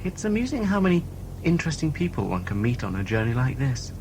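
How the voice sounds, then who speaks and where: A man's voice: medium pitch, sing-song, fast talker, a little mellow. A man playing a shady, scheming, prying and bossy passenger in an audio drama. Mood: smooth, prying. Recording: noisy hobby cassette recording.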